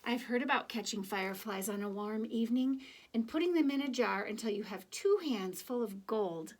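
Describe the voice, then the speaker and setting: A woman reading a children's picture book aloud, with deliberate, expressive phrasing and conversational asides to young listeners. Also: fairly high pitch, a little hiss or hum. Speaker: children's story reader.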